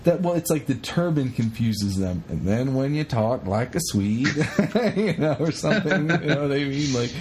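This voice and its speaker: A man co-hosting a podcast, chatting casually in an animated, rambling, sweary way as he retells stories. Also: mid-range voice, normal speed, slight background noise. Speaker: podcast co-host. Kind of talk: casual chat retelling a historical story.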